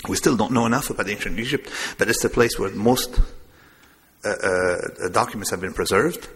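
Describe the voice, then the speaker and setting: A man lectures in a rambling, self-amused way. His voice sits fairly low but sounds sharp and forceful. A long reverb trails his words around a big lecture hall. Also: moderate pace. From Lebanon. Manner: discursive, digressive, self-amused. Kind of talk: public lecture.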